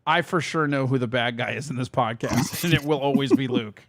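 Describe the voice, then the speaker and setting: A man's voice: mid-range voice, a little fast, a bit bright, no room sound, clean studio sound. A man hosting a casual comedy podcast, bantering with a sardonic, crudely teasing manner. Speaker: podcast host taking a call.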